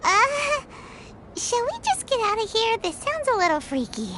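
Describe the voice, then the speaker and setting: A woman's voice: sing-song, normal speed, sharp tone, a little hiss or hum, exaggerated voice. A woman voicing a tiny childlike fairy companion in a video game, perky and easily miffed, with cartoonish delivery. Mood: uneasy. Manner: squeaky, refers to herself in third person.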